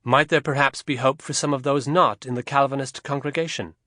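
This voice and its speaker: A man narrating a historical novel as an audiobook, in a measured, expressive storytelling manner. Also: mid-range voice, no room sound, studio quality.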